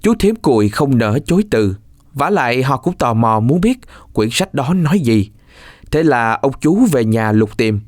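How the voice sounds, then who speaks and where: A man narrates a scripted folk tale for children. His mid-range voice moves expressively up and down while the telling stays measured. The sound is close and dry, with little room to it. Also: medium pitch, very animated, a little mellow, no room sound. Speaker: storyteller.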